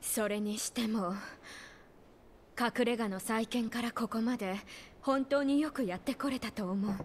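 An actress playing a gentle but resolute young woman in a Japanese video game, speaking softly and earnestly. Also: a little high, slight background noise, natural voice. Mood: gentle reassurance. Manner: soft, earnest, measured.

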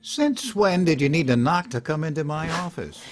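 An actor playing a confident, wealthy industrialist adventurer in a radio drama. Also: male voice, on the high side, expressive, normal speed, faint music behind, natural voice. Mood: teasing.

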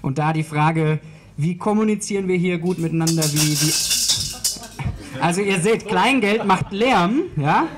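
Young man, event host, joking intro, handheld mic, small room, slightly high voice. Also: measured pace, music in the background. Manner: joking, hesitant fillers. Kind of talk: introducing a speaker. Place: small brick-walled event room with audience.

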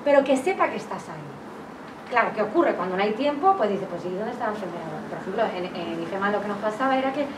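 A woman in her forties with a Castilian Spanish accent gives a conversational public talk through a face mask. Her medium-pitched voice is picked up from a distance in an echoey library room, with clear noise behind it. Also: expressive, fast. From Valdepeñas, Castile-La Mancha, Spain. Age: 40s. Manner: chatty, hand-gesturing, speaking through a face mask. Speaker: nurse and author presenting her book. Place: indoors, public library reading area.

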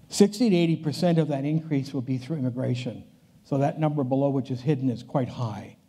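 Older male keynote speaker, deep mellow timbre, casual lecture delivery that circles back on its phrases. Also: breathy edge. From Canada. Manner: conversational, restarts phrases, walks the stage. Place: large conference hall stage.

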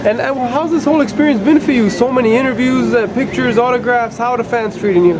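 High, fast-talking male reporter. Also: noisy background. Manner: upbeat, rapid questions. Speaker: sports reporter. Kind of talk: casual backstage interview. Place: hotel corridors and event hall indoors.

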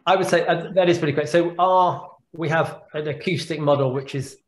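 A man's voice: fairly high pitch, a little fast. Man answering questions on a video call. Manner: thoughtful, repeats words while thinking. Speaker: guest speaker, neurologist. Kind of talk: answering audience questions after a talk. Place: home room on a video call. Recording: echoey video-call audio.

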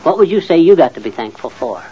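An actor playing a blustery, folksy, know-it-all middle-aged husband in an old-time radio comedy. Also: male voice, fairly high pitch, sing-song, fast, mellow, husky, full character voice. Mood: folksy, explaining. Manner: folksy, boastful, muttering and sputtering. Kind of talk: 1940s-50s radio comedy acting. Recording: muffled old radio recording.

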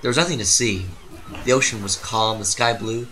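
A man narrating a literary story dramatically, with theatrical, deliberate phrasing. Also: fairly low pitch, bright, forceful tone, soft background music, low-quality recording.

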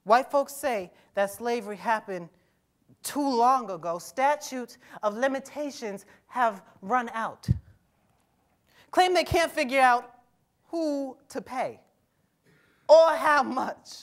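A young man acting a wary, wounded murdered teenage boy who speaks with a halting stutter, in a staged reading. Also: extremely high pitch, heavily acted. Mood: bitter. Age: young adult. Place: conference stage before a seated audience. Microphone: lapel mic.

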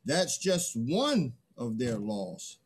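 A man playing a pleading human envoy in a play reading, halting and emphatic. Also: medium pitch, sing-song, slightly rough, full character voice. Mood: pleading, insistent. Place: video call, indoors.

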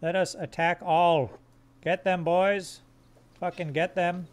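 A man's voice: high voice, slow pace. Crude, joking male game streamer. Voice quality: monotone. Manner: crude, joking, talks to chat while managing gear. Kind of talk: live gameplay commentary.

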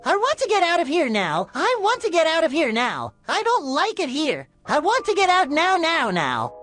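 Brief: man doing an excitable cartoon sea-sponge guy, high and whiny, swings wildly in pitch, faint music underneath. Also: a little slow. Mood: screaming. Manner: high, whiny and shrill when upset. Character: jittery young male sea sponge, excitable. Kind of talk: fan storytelling.

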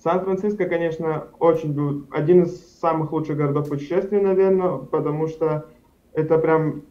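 A man's voice: fairly high pitch, fairly even intonation, moderate pace, warm tone. A young man from Karachay-Cherkessia answering interview questions over a video call, rambling with frequent fillers and self-corrections. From Karachay-Cherkessia, Russia. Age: young adult. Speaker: studio guest. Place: indoors at home, plain wall. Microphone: video call webcam. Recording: dull lo-fi video call audio.

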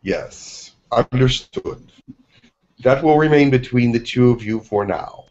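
A man speaking as a channeled being, slow and formal in his pronouncements. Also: mid-range voice, darkish tone, dull audio. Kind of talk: slow, solemn channeled speech.

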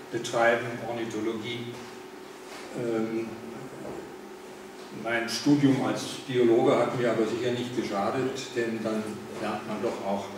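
An older man with a Berlin accent gives a relaxed public lecture, dropping fillers and turning to the audience with questions. He stands well back from the camera, so his voice comes across thin and distant. The room rings with heavy echo, and a steady background hiss sits under him. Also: mid-range voice. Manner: conversational, with frequent fillers and asides to the audience. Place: large indoor meeting room. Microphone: far camera, no mic.

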